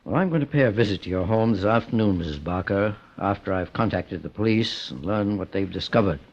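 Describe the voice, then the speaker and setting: Deep, husky, authoritative gentleman detective. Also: lively intonation. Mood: calm, businesslike. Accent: American. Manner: measured, deliberate, formal. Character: calm, authoritative gentleman private investigator, the boss. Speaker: radio actor. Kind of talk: old-time American radio detective drama acting. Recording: muffled old radio broadcast.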